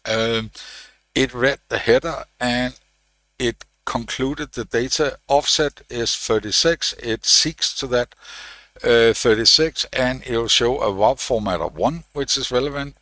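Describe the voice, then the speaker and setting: Bright-voiced man explaining his code. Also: medium pitch, vocal fry, no echo. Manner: thinking aloud, frequent uh and restarts. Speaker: electronics tutorial host. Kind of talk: casual technical walkthrough. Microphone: desk microphone, switched on and off.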